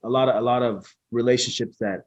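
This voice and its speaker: A man chatting as a guest in a casual interview, speaking in a laid-back, halting way with lots of restarts. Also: mid-range voice, steady tone, no room sound, dull audio. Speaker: interview guest, small business owner.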